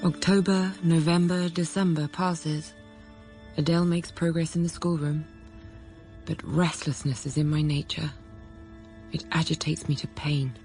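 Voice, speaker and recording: An actress playing a restless, self-controlled young governess in a radio drama, speaking in a measured, introspective way. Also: female voice, low voice, steady tone, darkish tone. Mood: restless, reflective. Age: young adult. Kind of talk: radio drama, first-person literary narration and dialogue. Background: background music.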